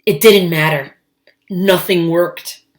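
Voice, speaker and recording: A woman reading a storybook aloud to children, expressive and emphatic, adding her own asides between the lines. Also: lowish voice, slow pace. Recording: echoey, roomy sound.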